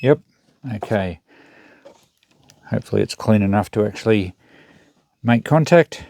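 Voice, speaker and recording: A man's voice: lowish voice, animated, measured pace, creaky, darkish tone, no room sound, clean studio sound. A man giving casual, unscripted commentary as he thinks aloud through a hands-on repair job. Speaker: host of a repair channel.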